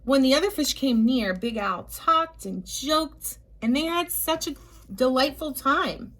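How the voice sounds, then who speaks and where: Slow-paced woman reading a children's storybook. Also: high voice. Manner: expressive, drawn-out emphasis with chatty asides to young listeners. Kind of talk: storybook read-aloud for young children.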